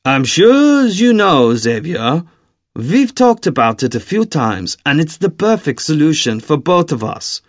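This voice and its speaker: A man voicing a tall, sly villain in an audiobook, with a soft German accent and a coaxing, theatrical, deliberately courteous delivery. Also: a little high, very animated, no room sound, clean studio sound, voice pushed up. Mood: impatient, insistent.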